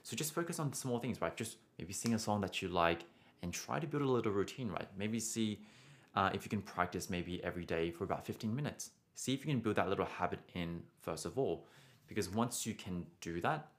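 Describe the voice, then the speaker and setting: A man's voice: sits low, animated, brisk. A young man teaching singing on a live stream, answering viewer questions casually and often adding "right?". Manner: casual, encouraging, frequent "right?" tags.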